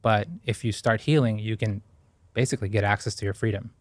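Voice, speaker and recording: Man, low voice, earnest and reflective, dry close sound. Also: steady tone, fairly quick, dry recording. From Ecuador. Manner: earnest, thoughtful, with frequent fillers and restarts. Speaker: guest. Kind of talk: reflective interview talk.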